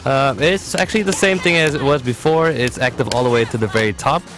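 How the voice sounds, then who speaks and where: A man's voice: mid-range voice, a little fast. A man giving explanatory video game tutorial commentary in a casual, matter-of-fact way. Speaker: competitive gamer presenting a character guide. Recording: voice-over with music behind. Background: game music.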